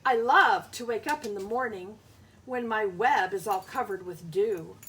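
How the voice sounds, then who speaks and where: Bright-voiced, slow-paced woman teaching children. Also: mid-range voice, a little hiss or hum. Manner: simple explanations and questions aimed at young children. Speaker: schoolteacher reading aloud to pupils. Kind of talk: picture-book read-aloud with asides to children.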